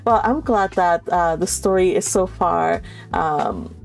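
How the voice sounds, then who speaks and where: A woman's voice: lowish voice, animated, slow, creaky, soft background music, poor audio. A woman hosting a live read-along, chatting casually and affectionately with her viewers, giggly and informal. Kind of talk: casual livestream chat with viewers.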